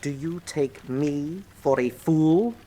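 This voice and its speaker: An actor playing an urbane, sardonic villainous colonel in a radio drama, suave, theatrical and mocking in manner. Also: male voice, a little high, slow, noisy background. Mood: angry, sneering.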